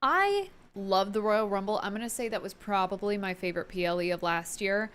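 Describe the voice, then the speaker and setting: Female vlogger, casual and opinionated, gushing, expressive delivery with casual swearing. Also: mid-range voice, brisk, clear, resonant tone. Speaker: wrestling review vlogger. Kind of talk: casual opinionated recap to viewers.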